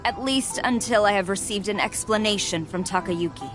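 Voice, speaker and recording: A woman's voice: mid-range voice, normal speed, a bit bright, faint music behind, close to an everyday voice. A woman playing a stern, commanding warrior officer in a video game, with a clipped, authoritative manner. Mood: stern, measured.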